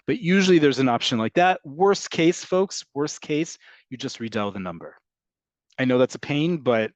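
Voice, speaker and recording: A man's voice: mid-range voice, no echo. A patient man explains things step by step to his students, repeating and rephrasing as he goes. His voice is dry and close. The sound is a little dull. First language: English. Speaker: Hebrew teacher. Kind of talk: online class, answering questions.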